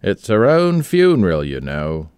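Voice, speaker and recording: A man reading a story aloud, voicing an urbane gentleman in a wry, measured way. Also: lowish voice, huge pitch swings, clean tone, no echo, studio quality.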